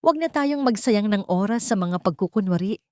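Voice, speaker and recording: A woman's voice: medium pitch, lively intonation, fairly quick, warm tone, dry recording, clean studio sound, voice pushed up, natural voice. Woman playing a cold, haughty rich matriarch. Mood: sharp contempt. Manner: cold, contemptuous, commanding.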